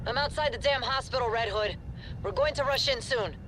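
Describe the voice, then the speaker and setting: A woman's voice: fairly high pitch, fairly quick, noisy background, close to an everyday voice. Curt woman detective played by an actress. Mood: urgent, grudging. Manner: curt, exasperated. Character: stern, reluctant woman police detective. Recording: loud music behind the voice.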